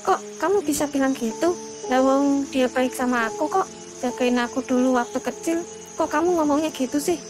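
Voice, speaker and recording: A woman's voice: high-pitched, normal speed, over music, lightly acted. A woman voicing a stubborn, trusting young female hiker in an Indonesian horror cartoon, with colloquial, insistent speech and an Indonesian accent. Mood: hurt, defensive. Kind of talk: animated horror story dialogue.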